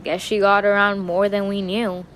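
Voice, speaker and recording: A woman's voice: medium pitch, little pitch movement, normal speed, a bit bright, slight background noise, close to an everyday voice, poor audio. A teenage girl playing a blunt, cynical young factory worker in a radio drama, dismissive and matter-of-fact. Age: teenage high school student.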